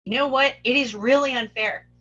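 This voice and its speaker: High voice, sharp bright edge, woman performing a volatile wounded young patient, film script table read, dull audio. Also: moderate pace. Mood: bitter, agitated.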